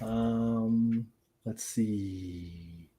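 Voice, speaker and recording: An older man hosting a casual livestream, greeting viewers in a halting way and often trailing off mid-sentence. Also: lowish voice, steady tone, warm tone, slightly rough. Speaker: livestream show host.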